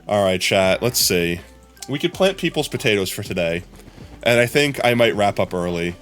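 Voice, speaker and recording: A young adult man streaming a game live, chatting casually with his viewers in a hoarse, rambling way. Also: fairly low pitch, expressive, normal speed, fairly bright tone, soft background music. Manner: chatty, rambling, often addressing chat.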